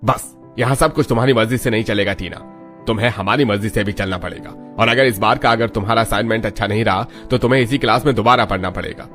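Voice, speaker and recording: Man playing stern, fast-talking professor. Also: fairly low pitch, fairly bright tone, soft background music, natural voice. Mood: stern warning. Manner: firm, admonishing. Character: stern, authoritative male college professor. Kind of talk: Hindi cartoon story dialogue.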